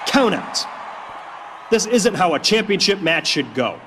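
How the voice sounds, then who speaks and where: An actor playing a stern, authoritative wrestling boss making emphatic announcements in a video game. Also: male voice, high-pitched, very animated, measured pace, noisy background. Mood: stern, fed up.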